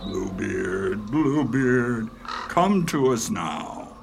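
A man playing a bombastic sham necromancer in a radio play, chanting theatrical spells in a grandiose, gravelly voice. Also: fairly low pitch, animated, extremely slow, a little hiss or hum, full character voice. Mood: commanding, grandiose. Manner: grandiose, incantatory, rhyming. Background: music.

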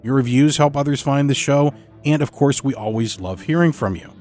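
A male podcast host reads scripted narration for a horror show. His voice is medium-pitched, with music playing behind him. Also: fairly quick, darkish tone.

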